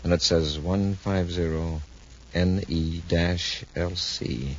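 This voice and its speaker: An actor playing a steady, authoritative space patrol commander, the calm hero of a 1950s radio serial. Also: male voice, low voice, slow. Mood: calm, decisive. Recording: noisy, muffled 1950s radio recording.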